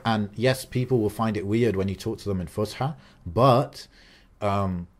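A young man with a somewhat low voice talks through a podcast conversation at length. He rambles casually, full of "like" and "um", restarting his sentences as he goes. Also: normal speed. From Algerian. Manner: talkative, many fillers and restarts. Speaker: podcast co-host.

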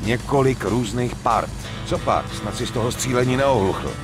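A man's voice: medium pitch, music in the background, lightly acted. A man playing a level-headed, persuasive gangster in a dubbed crime game, blunt and slangy. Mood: calm, persuasive. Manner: blunt, slangy, self-assured. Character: level-headed, persuasive male gangster running a drug deal. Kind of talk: dubbed video game acting.

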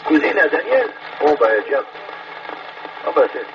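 Male radio ham, animated intonation, casual banter, heavy noise. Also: fairly high pitch, a little slow. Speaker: amateur radio operator. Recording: muffled, very noisy FM radio.

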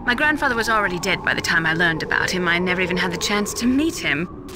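An actress playing a composed female scientist on a military support team in a video game, speaking softly. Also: lowish voice, animated, fast, background noise, close to an everyday voice. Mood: hesitant, evasive. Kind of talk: video game radio dialogue. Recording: game audio with music behind.

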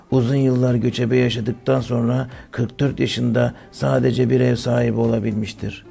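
A man plays an older cartoon music teacher, kindly and instructive. The voice is very creaky and mellow, moving in an even line as he explains things to children, with faint music behind. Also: mid-range voice, lightly acted. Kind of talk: scripted lesson narration for children.

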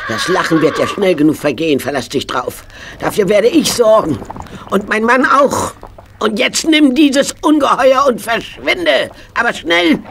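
An outraged housewife in a children's radio play. She scolds emphatically in a gravelly, drawn-out delivery over faint noise. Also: medium pitch.

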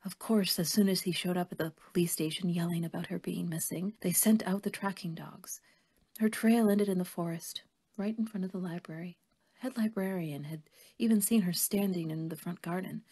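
A woman playing a kindly, worried older woman who believes in fairies, gentle and motherly in an audio drama. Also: lowish voice, normal speed, breathy edge. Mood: sorrowful.

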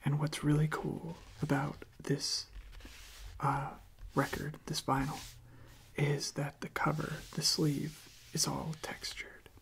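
Whispering, very slow young ASMR host. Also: mid-range voice, monotone, creaky. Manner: slow, gentle, halting delivery with repeated words. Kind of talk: soft-spoken whispered ASMR.

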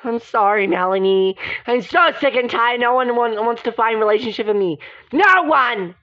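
A woman's voice: on the high side, brisk, a bit bright, lightly acted. A woman voicing a hot-tempered, lovelorn young woman, aggrieved and dramatic, in amateur soap-opera role-play. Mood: exasperated. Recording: dull lo-fi recording.

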